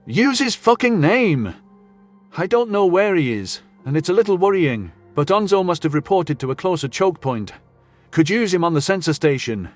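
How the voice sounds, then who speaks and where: A man's voice: high-pitched, very animated, moderate pace, faint music behind, pitched above the performer's own voice. A man voicing a brash, indignant male commanding officer with blunt, slangy, sarcastic speech in a sci-fi audio story.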